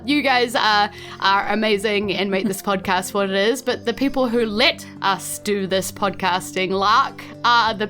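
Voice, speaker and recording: Bright-voiced New Zealand woman, giggly. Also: fairly high pitch. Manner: giggly, chatty. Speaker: game master and podcast host. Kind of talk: casual tabletop game narration and chat. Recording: music behind the voice.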